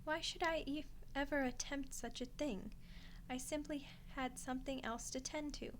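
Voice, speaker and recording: A woman's voice: high-pitched, steady tone, normal speed, slight background noise, lightly acted. A woman playing a gracious, authoritative vampire lady of a castle in an audio roleplay, with formal, stately, archaic phrasing. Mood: stern, composed. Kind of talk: scripted ASMR audio roleplay acting.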